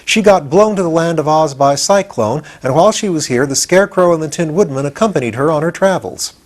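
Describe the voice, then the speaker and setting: A man's voice: on the high side, lively intonation, fast, voice pushed up. A man voicing a confident, adventurous boy who explains things eagerly in a storybook reading. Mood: explaining. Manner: eager, matter-of-fact explaining.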